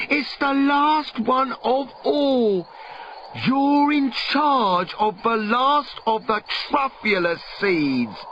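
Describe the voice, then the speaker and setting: A man's voice: sits high, sharp tone, muffled recording, slight background noise, pitched above the performer's own voice, heavily acted. A man voicing an old, regretful and greedy industrialist in a theatrical, emphatic storybook reading. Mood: urgent hope. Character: regretful, greedy old male industrialist telling his tale.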